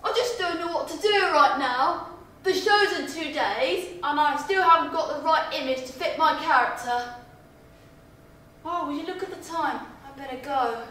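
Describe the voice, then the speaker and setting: A woman's voice: high-pitched, moderate pace, sharp tone, voice pushed up. A young woman playing a brash, vain, exasperated actress in a sassy, theatrical comic stage monologue. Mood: stressed, despairing. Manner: sassy, theatrical, swearing confidante. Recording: very echoey, distant, noisy room.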